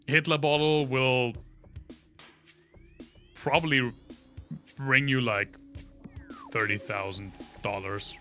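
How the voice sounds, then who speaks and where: A German-accented man rambles through trivia for his chat while playing a game, his voice bright and forward through a studio condenser mic. Faint game music plays under his talk. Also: medium pitch, fairly even intonation, slow, long pauses. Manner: rambling, full of trivia, talks to chat between gameplay reactions. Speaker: livestreamer. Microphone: studio condenser microphone.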